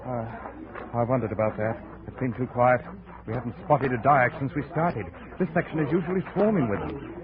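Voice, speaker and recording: A man acting a seasoned, hard jungle guide with a gruff, domineering manner in a 1950s radio drama. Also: mid-range voice, normal speed, crowd noise, lightly acted. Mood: watchful. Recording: scratchy, narrow-band 1950s radio recording.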